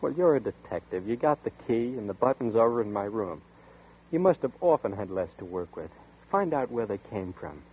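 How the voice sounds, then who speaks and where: A man's voice: fairly low pitch, expressive, normal speed, breathy edge. Troubled young man. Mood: pleading. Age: 20s. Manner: tense, troubled, insistent narration. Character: anxious young man haunted by a dream. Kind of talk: 1940s radio drama acting. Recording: tinny old radio broadcast with faint hiss.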